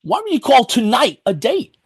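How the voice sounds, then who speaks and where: Man acting an anxious, husky, high-pitched needy guy. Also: huge pitch swings, normal speed, dry recording, clean studio sound, full character voice. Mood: incredulous. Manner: pleading, worried. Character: anxious, needy, jealous-sounding man fretting over absent friend. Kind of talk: script table read.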